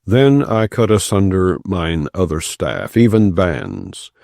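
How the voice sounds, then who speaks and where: A man narrating scripture in a solemn, measured, scripted audiobook style, phrase by phrase. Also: low-pitched, vocal fry, darkish tone, dry recording, studio quality. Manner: solemn, measured delivery in short deliberate phrases. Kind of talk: scripted narration, non-dramatized scripture reading.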